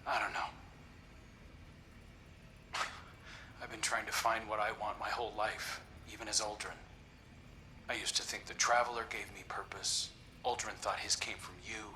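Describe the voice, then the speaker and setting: A man's voice: lowish voice, little pitch movement, moderate pace, pauses often, pushed and bright, slight background noise, poor audio. A man playing an earnest, hopeful young prince and devoted brother in a video game, speaking with heartfelt intensity. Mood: searching, vulnerable. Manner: heartfelt, intense, breathy at times. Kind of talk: dramatic game dialogue.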